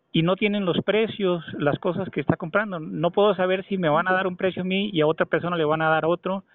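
A man's voice: fairly high pitch, no echo. A man on a phone call, arguing insistently and at length with an official. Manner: insistent, argumentative, long-winded. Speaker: caller. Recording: tinny phone line.

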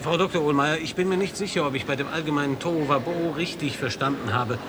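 An actor playing a dry, ironic foreign correspondent. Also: male voice, a little high, fast, background noise, lightly acted. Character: American male radio correspondent, dry and ironic. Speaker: reporter. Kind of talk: radio play acting a news report.

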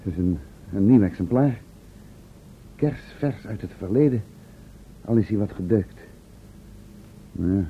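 A man's voice: on the low side, expressive, unhurried, pauses often, a little hiss or hum. A man playing a professor's curious, sceptical assistant in a Dutch radio play, speaking formally with dry irony. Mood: thoughtful, uneasy. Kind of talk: Dutch radio drama acting. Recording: narrow, tinny old radio recording.

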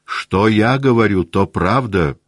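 A man's voice: fairly low pitch, slow pace, no room sound, voice pushed up. A male narrator acts out a proud young noblewoman, stubborn and hurt in love. The manner is emotional, in an audiobook style. The sound is dry and close. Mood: defiant.

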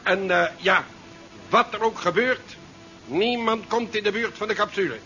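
An actor playing a brusque, by-the-book navy commander with clipped orders in a 1970s science-fiction radio play. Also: male voice, high-pitched, unhurried, pushed and bright, soft background music. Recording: dull 1970s radio recording.

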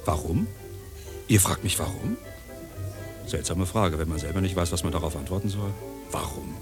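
An actor playing a flamboyant, grandiloquent uncle who performs in a nightclub, in a pompous, theatrical radio play style. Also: male voice, sits low, moderate pace, natural voice. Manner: pompous, theatrical, florid declaiming. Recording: music playing behind.

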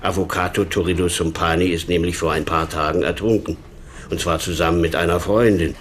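An actor playing a concerned, moralising police boss in a radio crime drama, admonishing and insistent. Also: male voice, deep voice, fairly even intonation, fairly quick, slight background noise, natural voice. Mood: serious.

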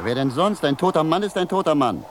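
An actor playing a weary, freezing wanderer who muses theatrically to himself in a radio drama. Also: male voice, a little high, animated, brisk, natural voice. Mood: musing, mocking. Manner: theatrical musing, talking to himself. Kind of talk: German radio drama acting, soliloquy. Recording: noisy 1970s radio drama recording.

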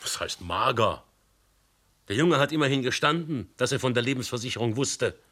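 An actor playing a calm, probing police commissioner in a radio play. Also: male voice, medium pitch, normal speed. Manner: probing, dry questioning.

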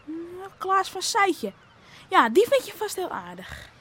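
A woman's voice: very high voice, very animated, slow pace, a bit bright, heavily acted. A woman playing a cocksure young fisherman's son, bossy and teasing, in a Dutch radio play.

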